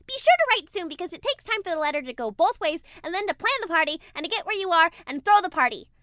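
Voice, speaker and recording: A woman voicing a hyper, cheerful young female cartoon pony who rambles in gushing excitement. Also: extremely high pitch, fast, no echo, full character voice. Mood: chirpy rambling. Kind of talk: cartoon character letter reading.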